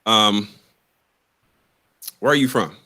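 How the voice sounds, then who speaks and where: A man's voice: on the low side, slow, sharp tone. A man hosting a live podcast over a video call, talking loosely and repeating himself as he rambles. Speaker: podcast host. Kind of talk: casual live-stream chat.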